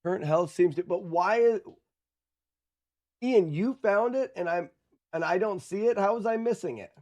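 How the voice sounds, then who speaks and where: High-pitched man chatting on a game stream. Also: moderate pace, a little mellow. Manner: talks to chat, thinking aloud, muttering. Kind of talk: casual live stream chat.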